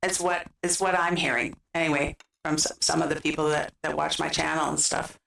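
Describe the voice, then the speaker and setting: A woman's voice: sits low, level tone, fairly quick, creaky, fairly bright tone. A woman with a western Canadian accent, chatting casually and giggly as she livestreams games. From British Columbia, Canada. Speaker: gaming streamer. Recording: roomy, distant microphone sound.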